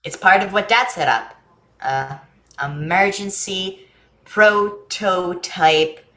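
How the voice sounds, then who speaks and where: A woman's voice: medium pitch, slow pace, bright, forceful tone, reverberant. A woman playing an earnest, eager and frank young boy in a staged play reading. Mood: eager, proud. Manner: earnest, blunt, childlike.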